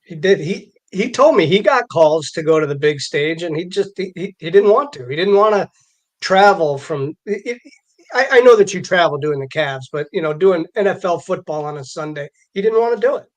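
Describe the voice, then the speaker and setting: An American man hosting a casual live interview, talking conversationally and haltingly, often repeating words as he goes. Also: fairly high pitch, expressive. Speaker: live show host and interviewer.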